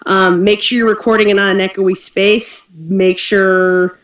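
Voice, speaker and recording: A woman talking casually in an online group meeting, informal and a little halting. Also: medium pitch, flat delivery. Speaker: narrator on the production team. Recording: echoey voice-call audio, far from mic.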